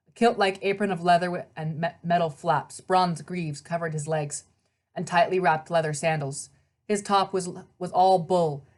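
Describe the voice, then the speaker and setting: A woman reading a children's novel aloud as narrator, in scripted storybook narration. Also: lowish voice.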